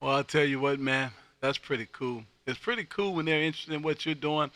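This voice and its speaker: Bright, forceful man on ham radio. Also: a little high, steady tone, fairly quick, a little hiss or hum. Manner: exuberant, repeats phrases, folksy. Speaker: amateur radio operator and livestream host. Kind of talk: on-air ham radio chat, livestreamed. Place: home radio room.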